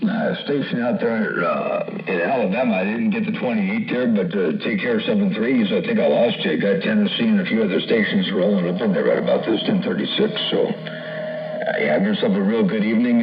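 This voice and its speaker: Gravelly guy talking cb slang, kinda folksy, muffled radio audio w music playing behind. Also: lowish voice, far from the mic. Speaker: CB radio operator. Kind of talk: casual CB radio chat with slang sign-offs. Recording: roomy, band-limited CB radio audio, loud music.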